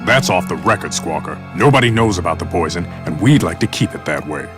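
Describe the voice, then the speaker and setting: A stern, secretive racing teammate in a video game. His voice is low, with music clearly behind it. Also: lively intonation, fairly quick.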